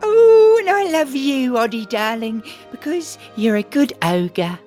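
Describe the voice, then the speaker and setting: A woman's voice: high voice, animated, a little slow, darkish tone, faint music behind. A woman voicing a doting female ogre with a gushing, eager manner. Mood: tender.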